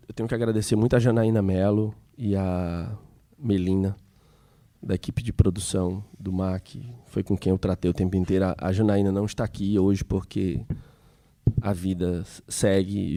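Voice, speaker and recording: A man with an accent from Piauí, Brazil, moderating a seminar in a museum hall, speaking off the cuff in a halting way with many restarts. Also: low voice, mellow. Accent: Piauí Brazilian Portuguese. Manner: halting, many restarts and fillers, slows on names. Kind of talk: spontaneous public speech at a seminar.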